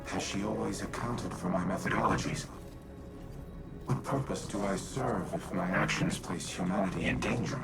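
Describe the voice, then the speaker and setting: A man's voice: fairly low pitch, vocal fry, rough recording. An actor voicing a brooding artificial war machine in a video game, formal and precise in his speech. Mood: troubled. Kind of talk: dramatic video game monologue.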